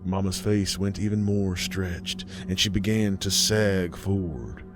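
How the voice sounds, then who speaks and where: Low-voiced man narrating a horror story. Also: unhurried, music in the background.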